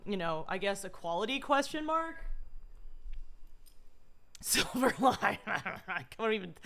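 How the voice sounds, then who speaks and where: A young woman streamer with a low voice, talking casually and rambling through asides while reacting to a video. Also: fairly bright tone. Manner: rambling, self-deprecating, laughs through asides.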